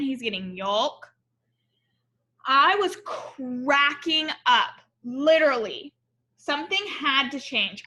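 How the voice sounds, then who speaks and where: A woman's voice: high voice, pushed and bright. A woman reading a picture book aloud to children, expressively, with explanatory asides and questions for her young listeners.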